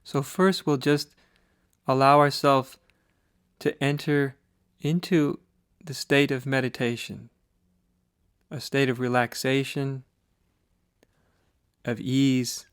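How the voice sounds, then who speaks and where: American guy doing guided meditation, really slow and deliberate, close dry sound, lots of pauses. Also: medium pitch, little pitch movement, smooth, no room sound. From United States. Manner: measured, deliberate, with pauses between short phrases. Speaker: spiritual teacher leading guided meditation.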